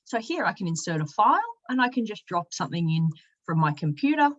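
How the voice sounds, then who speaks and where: A woman with a fairly low voice presents a software tutorial in a conversational way. She thinks aloud and explains each step as she goes. The recording is a little dull and lo-fi. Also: sing-song, fast talker. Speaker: software demo presenter, sales and marketing director. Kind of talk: conversational screen-share product tutorial.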